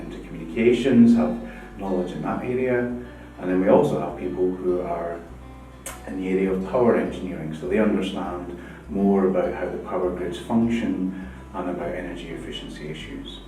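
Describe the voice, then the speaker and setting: A middle-aged man, a professor, explaining his research project to camera in a measured way. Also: low voice, mellow. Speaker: professor of communications and project coordinator. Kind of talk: interview to camera. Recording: echoey room from far off with music behind.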